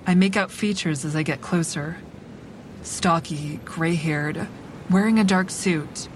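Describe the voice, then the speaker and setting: An actress playing a solitary, troubled bridge patroller, narrating an audio drama in a measured, introspective way. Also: female voice, on the low side, a little hiss or hum, close to an everyday voice. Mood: brooding, introspective. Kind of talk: scripted first-person audio drama narration. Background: music.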